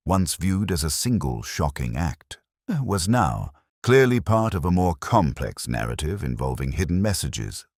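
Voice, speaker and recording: Very low-pitched man, lively intonation, scripted mystery narration, measured formal storytelling, dry close sound. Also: dry recording. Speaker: audiobook narrator.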